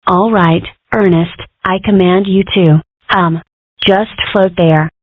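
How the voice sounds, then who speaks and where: A woman's voice: on the low side, fairly even intonation, slow, no room sound. A woman voicing a brash, sardonic young girl fox in a cartoon comic dub, with a dry, sarcastic delivery. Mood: self-pitying. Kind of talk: comic strip dub acting. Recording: tinny phone-like band-limited audio.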